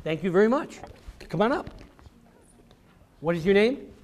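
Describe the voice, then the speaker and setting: A man's voice: high voice, expressive, moderate pace, a little mellow, slight background noise. An American man, an author, giving an encouraging, theatrical storytelling talk to children in simple, emphatic phrases. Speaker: author and speaker. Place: public library event before children.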